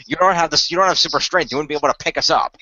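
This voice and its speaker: A fast-talking man hosts a casual chat about a radio play. His voice is bright and a little high, and he explains things at length. The audio is a slightly muffled, dry voice call. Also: no room sound. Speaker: co-creator and host of an improvised radio play. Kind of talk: casual post-game chat. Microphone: online voice call.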